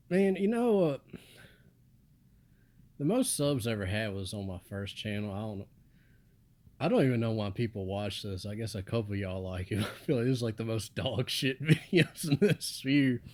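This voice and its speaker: Monotone, mumbling young man. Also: on the low side, moderate pace, pauses often. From United States. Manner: monotone, flat delivery with mumbled asides. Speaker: vlogger. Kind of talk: casual rambling monologue.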